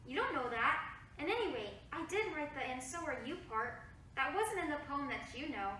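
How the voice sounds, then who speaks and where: A woman's voice: sits high, expressive, moderate pace, sharp tone, a little hiss or hum. A woman voicing a young girl who insists and pouts, in a virtual staged reading recorded in an echoey room. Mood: insistent. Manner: childlike, insistent, defensive. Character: young girl, eager, fibbing, sulky. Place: home room on a video call. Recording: echoey room, mic far off.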